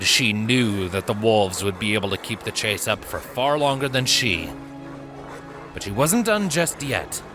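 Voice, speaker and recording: A man reading a story as a measured, expressive narrator in a dramatic scripted style. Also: medium pitch. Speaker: fan fiction narrator. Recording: prominent music and effects behind voice. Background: background music, sound effects.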